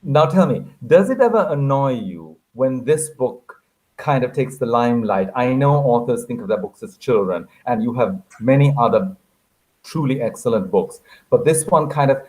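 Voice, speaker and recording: A man's voice: medium pitch, animated, normal speed, a little mellow, rough recording. A man hosting an online author conversation, chatty and genteel in his phrasing. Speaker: moderator of a literary event.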